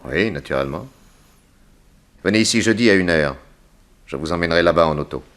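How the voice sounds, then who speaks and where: An actor playing a cool, shrewd private detective in a 1960s French radio drama, terse and questioning, on a noisy old recording. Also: male voice, low-pitched, lively intonation, fairly quick, fairly bright tone. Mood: cool, probing.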